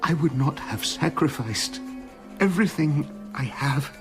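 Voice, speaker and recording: An actor playing a genteel, anguished Victorian scientist in a British radio drama, with a British accent and measured, halting delivery. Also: male voice, a little high, expressive, over loud music. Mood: grave pleading. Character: tormented, genteel Victorian male scientist, well-meaning and anguished.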